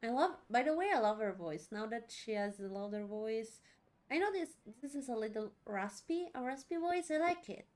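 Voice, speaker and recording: A woman's voice: fairly high pitch, animated, normal speed, darkish tone. A young woman doing casual let's play commentary as a streamer, informal and sweary.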